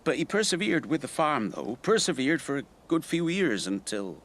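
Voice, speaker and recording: An actor playing a rueful rural man in a naturalistic radio drama, telling his memories in a halting, conversational way. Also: fairly high pitch, very animated, a bit bright. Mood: earnest, hesitant. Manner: halting, reflective, conversational storytelling. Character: rueful rural man recalling an old friend's tragedy.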